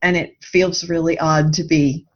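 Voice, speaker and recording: Low-voiced woman reflecting in an interview. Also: fairly even intonation, measured pace, muffled recording. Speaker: podcast guest, author. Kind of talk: conversational interview answers.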